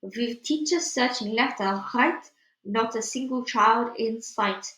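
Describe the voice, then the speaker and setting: Storybook villain: woman playing an old witch leader, gloating and commanding, high-pitched in an echoey room. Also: takes it slowly. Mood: gleeful, chanting. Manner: shouting, commanding, gloating, broken grammar.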